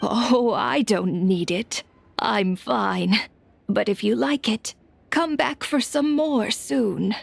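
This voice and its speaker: A woman's voice: fairly high pitch, gravelly, exaggerated voice. A woman playing a kindly, forgetful old grandmother in a video game, hesitant and doting, with a wavering, aged voice. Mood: warm, pleased. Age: older. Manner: slow, hesitant, fussing and doting. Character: forgetful, kindly old granny with failing memory. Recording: background music under the dialogue.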